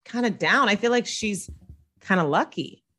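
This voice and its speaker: A woman co-hosting a casual chat show, talking in a relaxed, dry way. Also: medium pitch, moderate pace.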